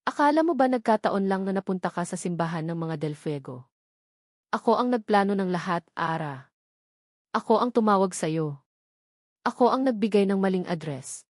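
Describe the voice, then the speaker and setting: A woman narrator acts out a jealous schemer from an audio romance drama. She taunts her rival with fake sweetness and venom, slipping English into her lines. The sound is dry, with a mid-pitched voice. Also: expressive, normal speed, pauses often, no echo, natural voice. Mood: bitter, angry. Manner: mocking, taunting, mixes English into Tagalog.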